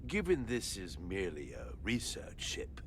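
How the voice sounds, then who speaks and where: An actor playing an ancient, proud alien guardian in a video game, speaking in a formal, sardonic and disdainful way. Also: male voice, sits low, huge pitch swings, moderate pace, fairly bright tone, a little hiss or hum, full character voice. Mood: stiff, proud.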